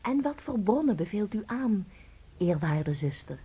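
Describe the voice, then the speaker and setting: An actress playing an anxious, devoted wife in a radio play, tender and theatrical, with a breathy delivery. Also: female voice, mid-range voice, big pitch swings, a little slow, loud music behind the voice. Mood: tender, hushed. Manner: theatrical, breathy, swinging from hushed to agitated.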